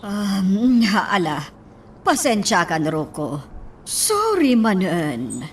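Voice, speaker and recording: A woman's voice: medium pitch, sing-song, slow, distant mic, slight background noise. A woman playing a young woman in a radio love-story drama. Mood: hesitant.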